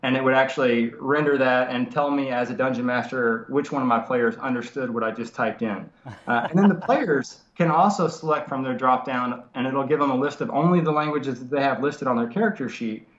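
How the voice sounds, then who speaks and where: A man's voice: medium pitch, brisk, a bit bright, highs cut off. Nasal man on a roomy video call. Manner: rambling, many restarts and fillers. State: nasal from a cold. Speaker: software developer guest. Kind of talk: casual software walkthrough. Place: home study.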